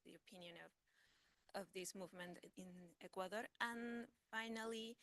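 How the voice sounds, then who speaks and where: A woman's voice: mid-range voice, sharp tone, no room sound. A woman from Peru, a student, politely asking questions at a seminar, hesitant with many fillers.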